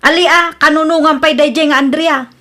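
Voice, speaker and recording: A very high-voiced young Filipino woman acted by a woman in an Ilocano comedy radio drama. She is stubborn and argues back defensively, putting the blame on others. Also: steady tone, a little fast, sharp tone. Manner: argumentative, defensive.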